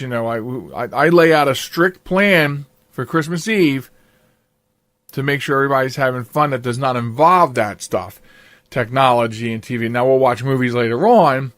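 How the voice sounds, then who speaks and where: A man's voice: mid-range voice, fairly bright tone, no room sound. An older man hosting a podcast, chatting casually in an interview. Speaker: podcast host.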